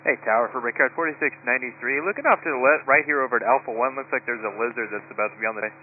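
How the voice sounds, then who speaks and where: A man, a pilot, calling the tower over the radio, halting and unsure, with many fillers. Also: mid-range voice. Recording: tinny radio transmission with faint noise.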